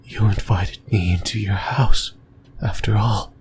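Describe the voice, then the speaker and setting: A lonely, fixated male sleep paralysis demon , acted by a man, whispers in a low, breathy voice. He speaks very slowly and haltingly, intimate and rambling, as in an ASMR horror roleplay. Noticeable noise sits behind the voice. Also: steady tone, natural voice. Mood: menacing, coaxing.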